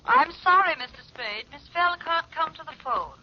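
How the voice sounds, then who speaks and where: A woman's voice: very high-pitched, lively intonation, moderate pace. An actress in a 1940s radio drama playing a brassy, scheming woman with haughty, theatrical airs. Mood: haughty, formal. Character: brassy, scheming female blackmailer with showy airs. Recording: dull old radio recording, hiss.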